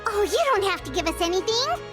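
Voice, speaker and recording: A woman's voice: extremely high pitch, very animated, fast. A woman voicing a small, childlike, chatty and nervy flying companion in an anime game. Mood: sheepish. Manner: refers to self in third person, exclamatory. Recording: music behind. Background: game music.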